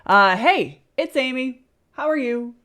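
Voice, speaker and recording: A woman's voice: high-pitched, lively intonation, a little slow, fairly bright tone. A middle-aged woman hosting a casual livestream in an informal, joking, sardonic manner, talking into a close desk microphone. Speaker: host of a live reaction show. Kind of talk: casual livestream chat and reaction commentary. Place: small room at home. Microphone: close desk microphone on a boom arm, with headset.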